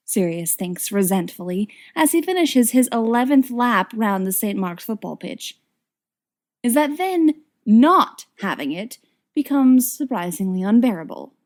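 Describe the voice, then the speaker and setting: A woman's voice: on the high side, darkish tone. A woman narrating a written story expressively, with wry, dramatic emphasis. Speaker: audiobook reader of fan fiction.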